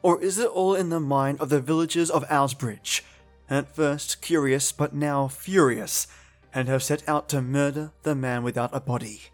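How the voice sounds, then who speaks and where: A man hosts an old-time radio podcast, teasing the story in a playful, theatrical way. His voice is a little higher than average, and faint music plays behind him. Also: steady tone, normal speed. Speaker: podcast host. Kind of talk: podcast introduction.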